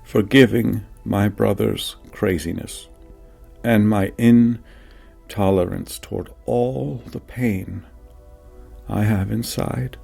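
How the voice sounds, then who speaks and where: A man's voice: on the low side, takes it slowly, creaky, warm tone, slightly rough, faint music behind, exaggerated voice. A man voicing a guilt-ridden young man who confesses his pain plainly in an audiobook testimony. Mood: pained, confessing. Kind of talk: audiobook reading of a personal testimony.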